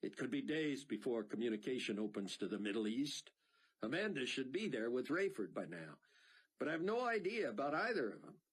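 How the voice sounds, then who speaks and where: A man's voice: mid-range voice, very animated, brisk, husky, voice pushed up. A man voicing a determined young male journalist who talks urgently and directly.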